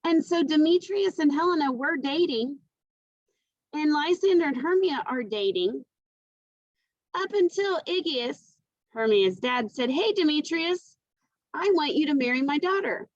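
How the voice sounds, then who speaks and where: Higher-voiced woman teacher, dull lo-fi recording. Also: lively intonation, unhurried, no echo. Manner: explanatory, conversational, addressing students directly. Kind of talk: lesson explanation to students, informal.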